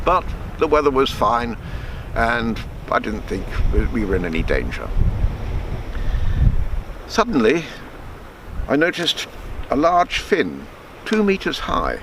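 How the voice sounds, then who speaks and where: An older man reading a true sea tale aloud as a storyteller, measured, sometimes stumbling and repeating words. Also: medium pitch, background noise. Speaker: storyteller reading his book.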